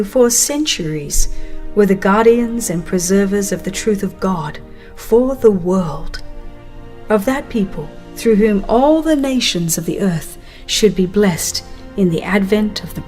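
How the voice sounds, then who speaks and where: A woman reading a religious book aloud as dramatized scripted narration, solemn, earnest and measured. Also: mid-range voice, a little mellow, faint music behind. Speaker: audiobook narrator.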